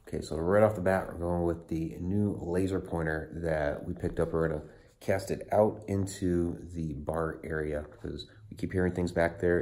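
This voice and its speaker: A man's voice: deep voice, a little mellow. A man hosting a paranormal investigation on location, talking casually and calling out into the room. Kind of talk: casual narration and calling out to spirits during a live investigation. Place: indoors in an old house. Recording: very echoey room, distant mic, faint noise.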